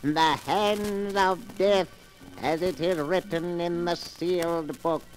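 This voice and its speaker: A man narrating a horror tale as host in 1940s radio style, ominous, theatrical and deliberate. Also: sits high, steady tone, poor audio. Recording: 1940s radio recording with music. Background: music.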